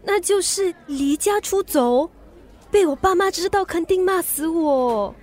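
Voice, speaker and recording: A woman's voice: very high-pitched, lively intonation, unhurried, a little mellow. A woman with a Singaporean accent playing a timid, anxious teenage friend in a radio drama, hesitant and fretful. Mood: nervous. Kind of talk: Mandarin radio drama acting.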